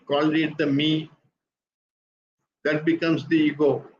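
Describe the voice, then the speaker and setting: Older indian guy, calm and deliberate, talking like a lecture, kinda muffled stream with faint hiss. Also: on the high side. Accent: Indian English. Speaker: guest speaker on spiritual teachings. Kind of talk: measured explanatory talk.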